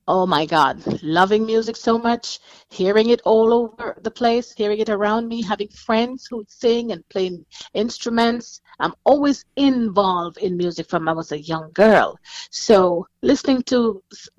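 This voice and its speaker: A woman's voice: medium pitch, a little slow, no room sound, dull audio. A middle-aged woman with a Jamaican accent, answering interview questions conversationally as a singer guest.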